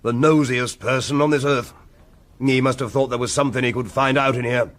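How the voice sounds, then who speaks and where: A man's voice: medium pitch, steady tone, a little fast, a bit bright, natural voice. Man playing a blustering upper-class English lord. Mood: grave. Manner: blustering, imperious. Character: gruff, exasperated aristocratic English lord, master of the house. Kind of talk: period radio drama acting.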